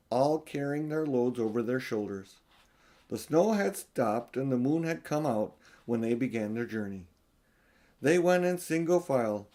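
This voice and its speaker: A man's voice: mid-range voice, warm tone. An older man, a grandfather, reading a children's story aloud in an informal, homely style.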